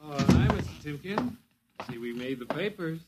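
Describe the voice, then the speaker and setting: An American actor playing a breezy, wisecracking government radio operator in vintage radio drama, slangy and casual, clipped for official bulletins. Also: male voice, fairly high pitch, lively intonation, moderate pace, very noisy, close to an everyday voice. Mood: breezy, grumbling.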